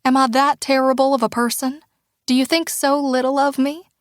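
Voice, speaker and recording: Woman voicing a loving small-town mother, soft breathy near-whisper, heartfelt and pleading, dry close audiobook sound. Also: a little high, a little slow, no echo. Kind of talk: audiobook character dialogue.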